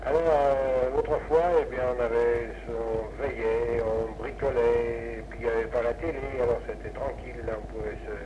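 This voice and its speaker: Fifties male ham operator, rambling and hesitant, on a muffled, clearly noisy vintage radio link. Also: medium pitch, fairly even intonation, moderate pace, hardly any pauses. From France. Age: 50s. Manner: rambling, hesitant, chuckling asides. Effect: radio transmission. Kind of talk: casual ham radio contact. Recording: noisy, muffled 1960s amateur radio recording.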